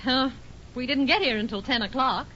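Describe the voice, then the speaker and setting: A woman playing a brisk, bossy, expressive housewife in a 1940s radio situation comedy. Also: high-pitched. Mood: exasperated. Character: brisk, no-nonsense housewife taking over the office. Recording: muffled 1940s radio recording, faint noise.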